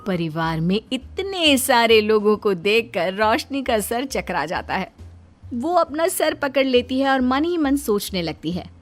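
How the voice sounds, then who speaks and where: A woman's voice: a little high, sing-song, measured pace, faint music behind. A woman reading scripted narration for a cartoon moral story.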